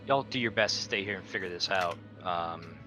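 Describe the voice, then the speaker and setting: A man's voice: sits low, normal speed, pushed and bright, soft background music. A man playing a tabletop roleplaying game, talking casually as one of the players. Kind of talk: casual in-character game chat.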